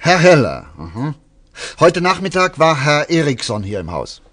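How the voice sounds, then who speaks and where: An actor in a German radio drama playing a brisk, excitable detective with a terse, insistent way of questioning. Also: male voice, a little high. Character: probing adult male detective, brisk, encouraging, excitable.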